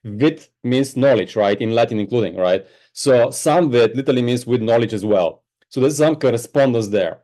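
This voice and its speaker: A Bulgarian-born man with a mellow, warm voice talks as an interview guest. He explains with enthusiasm and lets his voice drop low on side remarks. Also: expressive, moderate pace, hardly any pauses. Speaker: guest, spiritual teacher. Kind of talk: podcast interview.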